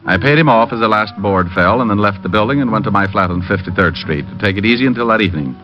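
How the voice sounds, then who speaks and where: An actor playing an unflappable private detective in an old radio show, wry and deadpan. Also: male voice, on the low side, fast talker, lightly acted. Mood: wry narration. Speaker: lead and narrator. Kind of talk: 1940s radio detective narration and acting. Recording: muffled old radio recording. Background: music.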